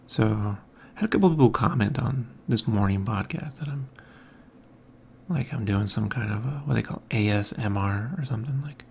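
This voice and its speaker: Quiet gravelly-voiced man talking softly. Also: on the low side, moderate pace, slight background noise. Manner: quiet, soft-spoken, mumbling. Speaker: podcast host. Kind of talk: casual morning monologue. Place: home studio room. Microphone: hanging overhead mic.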